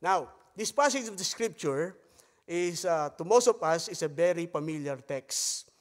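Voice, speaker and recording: A male preacher from the Philippines speaks English with a Filipino accent, his first language being Tagalog. His voice sits a little higher than most men's, and he pauses often with halting fillers between phrases. His words ring out across a church hall with a long trailing reverb. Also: animated. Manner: halting delivery with frequent hesitations; softer, hushed tone while praying. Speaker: visiting missionary pastor. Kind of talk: sermon with Bible reading and prayer. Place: church sanctuary. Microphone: pulpit microphone.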